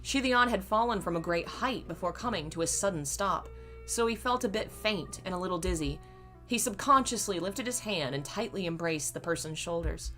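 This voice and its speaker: Bright-voiced hoarse woman reading aloud. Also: fairly low pitch, animated, moderate pace, soft background music. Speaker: reader and channel host. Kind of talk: live reading of a novel.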